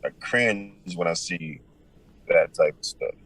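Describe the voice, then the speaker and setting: A man with a deep, powerful voice, answering interview questions in a relaxed, thoughtful way with many pauses. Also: rough recording. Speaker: musician guest.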